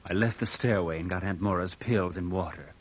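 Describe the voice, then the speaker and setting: An actor playing a coldly scheming, murderous nephew in an old-time radio drama, speaking with careful deliberation. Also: male voice, deep voice, lightly acted. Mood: guarded. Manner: slow, deliberate, hushed narration and hesitant dialogue. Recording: muffled vintage radio recording with faint noise.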